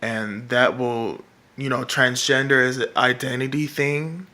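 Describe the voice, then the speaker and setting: A man with a feminine-sounding voice, talking in a rambling vlog monologue that is halting and full of fillers and restarts. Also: mid-range voice, steady tone, sharp tone. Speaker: political vlogger. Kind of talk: casual monologue to camera.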